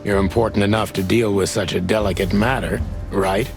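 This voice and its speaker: A man playing a world-weary rooster private detective in a film-noir video game, speaking in a measured, probing, wry hardboiled way with a gravelly voice. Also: on the low side, steady tone, soft background music. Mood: wry, pressing.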